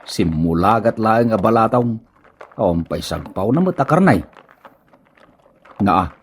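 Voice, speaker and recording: A man's voice: lowish voice, lively intonation, a little slow, breathy edge. Guy doing a grumbly older ilocano filipino uncle, voice swings up and down, comedy radio drama style. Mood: grumbling.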